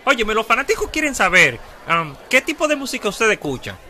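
A man's voice: sits high, sing-song, quick pace. A man with a Dominican accent voicing a chatty, confused interviewer in a comic dub. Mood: puzzled. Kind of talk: comedic dub of red-carpet interview. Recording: noisy, with loud ambience.

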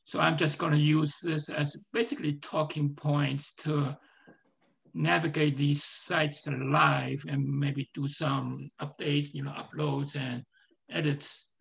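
A man with a hoarse, strained voice giving a live screen-share presentation, talking in a halting way with many fillers and restarts. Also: a little high, fairly even intonation, a bit bright.

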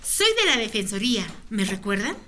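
A woman's voice: mid-range voice, very animated, normal speed, bright, forceful tone, a little hiss or hum. A woman playing a direct, earnest women's rights advocate in a radio drama, speaking insistently. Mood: firm, persuasive.

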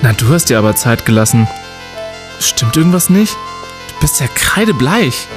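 An actor playing a cheeky, curious university student detective in an audio drama, theatrical and flippant. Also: male voice, mid-range voice, very animated, normal speed, over music, natural voice. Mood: casual, keen.

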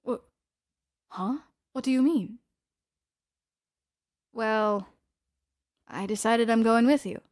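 A woman voicing a thoughtful young adventurer in an anime audio drama, phrasing things deliberately with pauses. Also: fairly high pitch, darkish tone, no room sound, studio quality. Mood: hesitant, gentle.

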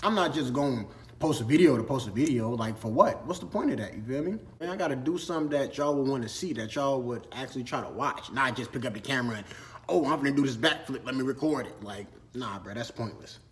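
A man's voice: medium pitch, lively intonation, quick pace, a little hiss or hum. Laid-back slangy young man vlogging. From Minnesota. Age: 20s. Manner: laid-back slangy chat, eating while talking. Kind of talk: casual Q&A vlog. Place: storage room with shelves and ladders, indoors.

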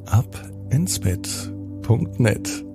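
A man's voice: mid-range voice, big pitch swings, very slow, loud music behind the voice. A man telling a bedtime story for children, expressively and addressed straight to the young listener.

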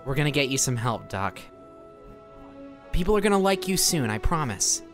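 A woman's voice: rumbling low, very animated, moderate pace, music in the background, full character voice. A woman voicing an earnest, concerned young male science student with a casual, incredulous manner in an improvised comedic game dub.

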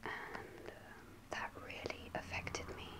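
Young woman doing asmr whisper, lots of ums, breathy and close, small room. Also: slow, rough recording. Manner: soft unvoiced whisper, hesitant with many ums. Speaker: ASMR creator. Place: small indoor room before a starry backdrop. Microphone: close to a camera at face level.

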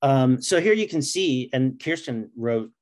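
A middle-aged man speaks as a guest on a video-call interview. His voice sits at an average pitch, and he talks informally, like a writer explaining his work. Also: lively intonation, a little slow, darkish tone. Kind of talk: casual interview chat. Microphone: video call microphone.

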